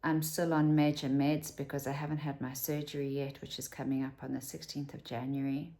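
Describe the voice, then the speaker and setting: A woman's voice: a bass voice, little pitch movement, a little fast, warm tone. A South African woman in her fifties talking candidly to camera at home, rambling and dropping to a whisper for asides. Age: 50s. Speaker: vlogger. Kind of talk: casual talk to camera.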